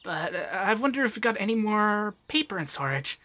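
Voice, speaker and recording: A man voicing an affectionate, teasing femboy monster companion in a soft, breathy, shyly stammering ASMR roleplay. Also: high voice, sing-song, fairly quick, exaggerated voice. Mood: chatty, playful.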